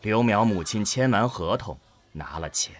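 A man narrating a scripted audio drama as an expressive storyteller. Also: on the low side, moderate pace, dry recording.

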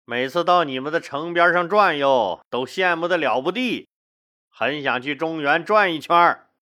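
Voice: medium pitch, animated, normal speed, bright, forceful tone. Casting: narrator doing a cheeky male steppe ruler, mock-pitiful, folksy suitor in a comic history tale. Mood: wheedling. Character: lonely, cheeky nomad chieftain writing a courting letter.

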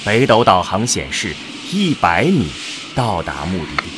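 A man reading scripted narration for a science fiction audio drama in a deliberate, dramatic way. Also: sits low, very animated, very noisy.

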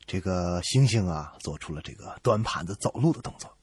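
A man's voice: low-pitched, expressive, fairly quick, slightly rough, dry recording. A man co-hosting a comedy radio show, telling scripted jokes with exaggerated, playful reactions. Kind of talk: scripted comic banter and joke storytelling. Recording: music playing behind the voice.

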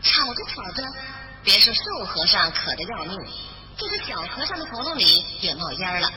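A woman storyteller narrating a scripted children's bedtime tale in an expressive style. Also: medium pitch, moderate pace. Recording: dull roomy recording with music behind.

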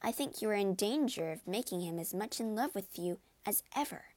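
A woman's voice: medium pitch, expressive, brisk, a little mellow, no room sound, natural voice. A woman voicing a spirited, witty young woman who speaks in a firm, composed way, close to her own voice. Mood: teasing.

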